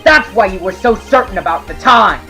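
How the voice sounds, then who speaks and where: An earnest young male defense attorney played by a fandub actor, sharp and dogged, declaiming arguments in game courtroom style. Music plays behind the voice. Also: mid-range voice, lively intonation, moderate pace. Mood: assured.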